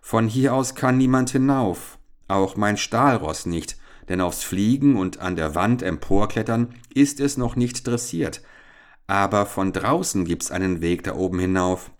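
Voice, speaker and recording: A man's voice: lowish voice, animated, unhurried. A man reading aloud and voicing a cool-headed, sardonic teenage boy adventurer in a storybook tale. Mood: confident. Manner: mocking, confident, emphatic.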